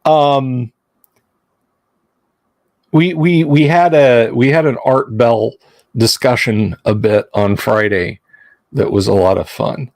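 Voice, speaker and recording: A man's voice: medium pitch, slow pace, pauses often, darkish tone, dry recording. A man hosting a casual livestream chat, rambling and self-deprecating, often restarting his sentences. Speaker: livestream host.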